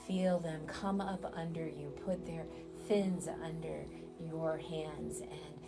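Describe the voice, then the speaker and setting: A woman guides a meditation in a low voice with a gravelly fry at the edges. She speaks very slowly and soothingly, stretching her phrases and leaving long pauses between them. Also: steady tone. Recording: loud music under the voice. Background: music.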